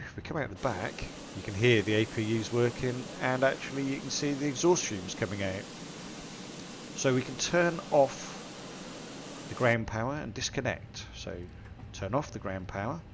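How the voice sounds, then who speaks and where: Gravelly, congested man walking through a cockpit. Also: medium pitch, expressive, moderate pace. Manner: methodical, talks through each setting aloud. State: stuffy nose from a cold. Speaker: flight sim tutorial host. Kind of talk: step-by-step cockpit walkthrough. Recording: simulator engine and cabin noise behind. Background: aircraft simulator hum.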